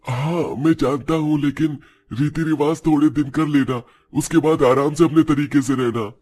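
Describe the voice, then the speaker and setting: A man playing an apologetic young husband who explains and placates in an animated family story. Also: a little high, normal speed, close to an everyday voice. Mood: placating.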